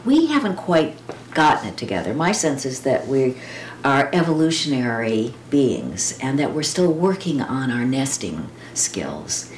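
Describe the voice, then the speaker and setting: A woman reading poems and quotations aloud with informal commentary, speaking in a reflective, deliberate way with pauses and drawn-out words. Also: sits low. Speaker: poetry reader. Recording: roomy echo with clear background noise.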